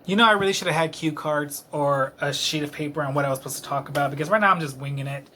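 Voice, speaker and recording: Tipsy late-twenties man vlogging at home, bright voice a touch high, rambling with many ums, faint room noise. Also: fast. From Dallas, Texas. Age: late 20s. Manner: self-deprecating, rambling, many fillers. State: a little tipsy. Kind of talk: rambling casual monologue to camera. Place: his own apartment, indoors. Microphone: camera close-up.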